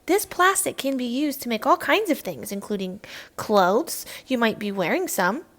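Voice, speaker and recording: A woman's voice: a little high, big pitch swings, moderate pace, fairly bright tone. A woman voicing a patient, enthusiastic male schoolteacher in a children's storybook, explaining things emphatically. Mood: emphatic.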